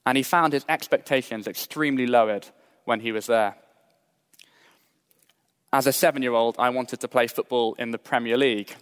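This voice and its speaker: A young adult man from Britain preaching a sermon in a measured, earnest way, mixing scripture with personal stories. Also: mid-range voice, steady tone, a bit bright, clean tone. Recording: long hall reverb.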